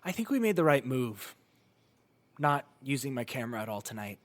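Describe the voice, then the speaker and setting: Man playing a young friend with lively pitch. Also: moderate pace. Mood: quiet, relaxed. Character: young man, casual questioning friend in horror drama. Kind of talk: naturalistic audio drama dialogue.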